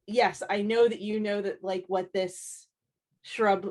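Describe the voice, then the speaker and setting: A woman's voice: mid-range voice, fairly even intonation, takes it slowly, a little mellow. A woman artist from the American East Coast talking casually on a panel, chatty and full of fillers. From United States East Coast. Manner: chatty, heavy use of "like" and "um". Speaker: comic artist.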